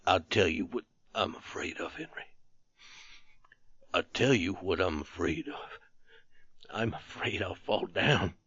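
A man voicing a mortally wounded soldier in a storybook reading, dull and hushed, halting, dazed and pleading. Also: low voice, animated, slightly rough, voice pushed down, exaggerated voice. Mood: fearful, pleading. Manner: halting, slow, trailing off. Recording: roomy, dull recording.

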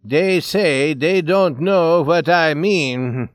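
A man's voice: high-pitched, slow, smooth, no echo, clean studio sound, voice pushed up. A man voicing a nervous, suspicious, desperate man in a story, agitated and pleading. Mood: bitter.

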